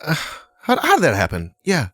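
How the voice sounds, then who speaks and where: A man's voice: a little high, huge pitch swings, brisk, bright, forceful tone, no room sound, clean studio sound. A man hosting a show and interviewing his guest in a casual, chatty way. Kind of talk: casual chat.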